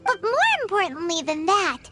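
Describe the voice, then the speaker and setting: A woman voicing a tiny, excitable, earnest childlike fairy companion in a video game, with a squeaky, exclamatory delivery. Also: normal speed, over loud music. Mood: encouraging. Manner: exclamatory, refers to self in third person.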